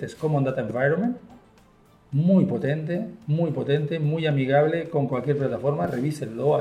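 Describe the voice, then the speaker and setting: A man's voice: medium pitch, mellow. A man with a Spain Spanish accent, a guest being interviewed, talking fluently and enthusiastically with frequent fillers, in an office. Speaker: guest, software company partner. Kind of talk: conversational interview. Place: office room. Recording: roomy echo.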